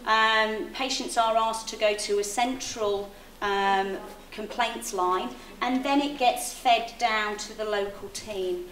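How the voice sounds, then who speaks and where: A woman's voice: medium pitch, steady tone, slow pace, hardly any pauses, fairly bright tone. A woman on a meeting panel answering a complaint in a conciliatory, hesitant way, with frequent ums. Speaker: health service official on a meeting panel. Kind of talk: measured panel response. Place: public meeting hall. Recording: echoey hall, distant mic, faint noise.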